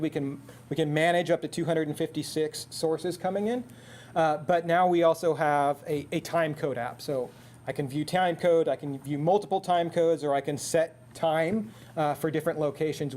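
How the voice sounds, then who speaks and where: A man's voice: on the high side, darkish tone. A man presenting a technical product at a seminar in a conversational, explanatory way. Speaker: product presenter for an intercom manufacturer.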